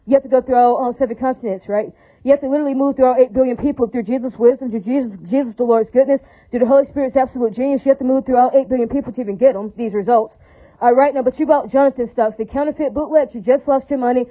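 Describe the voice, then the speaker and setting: A woman's voice: high-pitched, quick pace, dark tone, low-quality recording. A woman talking to her viewers in a rambling unscripted monologue, repeating herself, leaning on fillers and often asking if they agree. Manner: repetitive, filler-heavy, keeps asking listeners if they agree. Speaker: vlogger addressing viewers.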